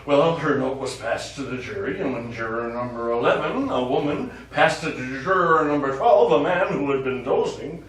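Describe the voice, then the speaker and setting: A man's voice: medium pitch, animated, moderate pace, hardly any pauses, a bit bright, close to an everyday voice. A man acting a smarmy, lecherous, self-satisfied lawyer in a comic stage play, with a theatrical, hesitant, leering manner. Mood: tipsy joviality. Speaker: stage actor. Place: small theatre studio stage. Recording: echoey theatre stage, distant mic, faint noise.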